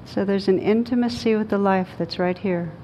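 A woman leads a guided meditation as a teacher, speaking slowly and soothingly with long pauses. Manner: slow, calm, soothing delivery with long pauses. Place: live group session in a room. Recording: dull lo-fi sound with rumbling noise.

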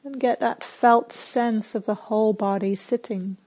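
A woman meditation teacher leading a guided meditation with gentle, unhurried instructions and pauses between phrases. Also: medium pitch, fairly even intonation, rough recording.

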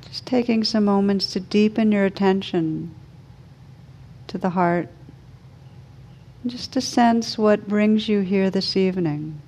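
A woman leading a guided meditation as a teacher, speaking slowly and soothingly with gentle pauses. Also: mid-range voice.

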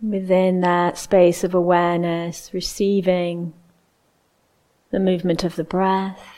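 A woman leading a guided meditation as a teacher, speaking in a gentle, unhurried way with long pauses. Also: lowish voice, flat delivery.

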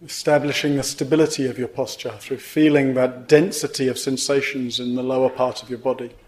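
A man with a medium-pitched voice leads a guided meditation as its teacher. He gives gentle instructions in measured phrases. Pauses sit between the phrases, leaving space after each one. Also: flat delivery.

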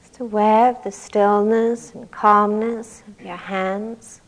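A woman leading a guided meditation as a teacher, speaking gently and calmly in unhurried phrases with long pauses. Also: mid-range voice, rough recording.

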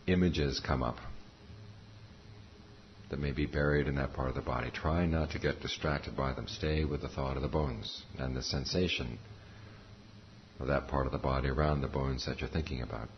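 A man giving guided meditation instruction as a teacher, calm and measured, pausing between short phrases. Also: extremely low pitch. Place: meditation center talk. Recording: muffled recording with faint background noise.

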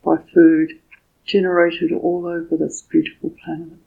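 A woman meditation teacher leading a guided meditation in a soft, slightly husky voice, speaking gently and deliberately with pauses between short phrases. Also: fairly low pitch, completely flat delivery, phone-quality sound.

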